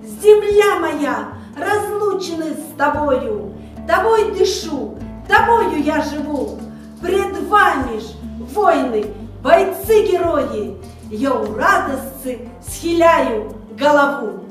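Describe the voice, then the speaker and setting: Slow heartfelt woman in a very echoey room. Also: sits high, lively intonation. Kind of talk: poetry recitation over music. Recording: very echoey room, music behind.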